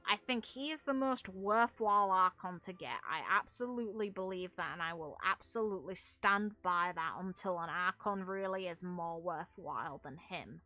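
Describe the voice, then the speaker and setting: A woman's voice: lowish voice, moderate pace, few pauses, pushed and bright. A woman chatting casually on a livestream as a game streamer, giving her opinions in an emphatic, opinionated way. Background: music.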